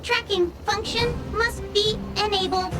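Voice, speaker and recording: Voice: very high-pitched, unhurried. A performer voicing a small chirpy repair drone in a video game, making stilted status announcements over music. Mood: mechanical, chirpy. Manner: flat system announcements, stilted phrasing.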